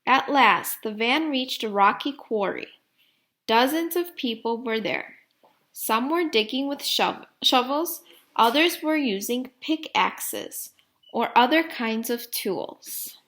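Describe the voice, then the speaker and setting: Lady doing a storybook read aloud for kids, highish voice, really animated, asks questions. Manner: simple, deliberate phrasing with questions to young listeners. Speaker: teacher reading aloud to students.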